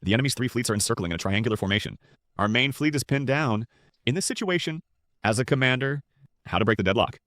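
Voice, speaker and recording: Demanding, animated man as military instructor. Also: mid-range voice, fast, dry recording, studio quality, close to an everyday voice. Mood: appraising, authoritative. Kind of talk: English-dubbed anime drama.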